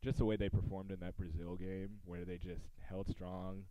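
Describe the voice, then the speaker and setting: A man's voice: sits low, little pitch movement, moderate pace, no echo, highs cut off. An American man hosting a podcast, talking sports in a casual, conversational style. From United States.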